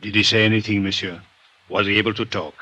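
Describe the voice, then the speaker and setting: An actor in a radio drama playing a polite, fussy police inspector with a French accent and formal manner. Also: male voice, on the low side, steady tone, fast talker, sharp tone, faint music behind. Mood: anxious, questioning. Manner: formal, apologetic. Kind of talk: 1950s radio drama acting. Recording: noisy old radio drama recording.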